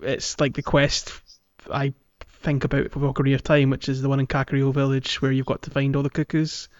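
A man's voice: mid-range voice, completely flat delivery, brisk, no echo. A man chatting casually and jokily as a gaming podcast co-host. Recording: slightly dull, lo-fi microphone.

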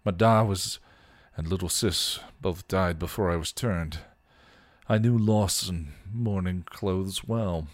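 A sarcastic, world-weary punk vampire, full of slang, as a man reading fan fiction does the voice. The voice sits very low and sounds dry and close. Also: slow, no room sound. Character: sardonic grieving male punk vampire.

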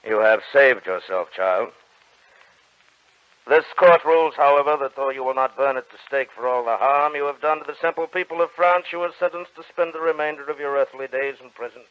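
An actor playing a stern church inquisitor in an old-time radio drama, with a commanding, theatrical, threatening delivery. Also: male voice, medium pitch, a little fast, lightly acted. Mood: solemn, cold. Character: stern, menacing male church inquisitor judging a heretic. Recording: dull, band-limited old radio recording.

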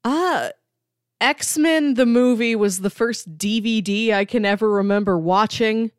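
A woman's voice: fairly high pitch, measured pace, clean tone, no echo. Chatty woman co-hosting, close dry sound. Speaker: podcast co-host. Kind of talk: casual podcast chat.

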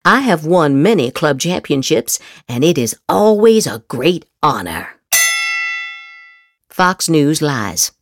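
A woman's voice: sits low, big pitch swings, slow pace, dry recording, studio quality. A woman podcast host doing a theatrical dramatic reading of social media posts, with exaggerated, emphatic inflection.

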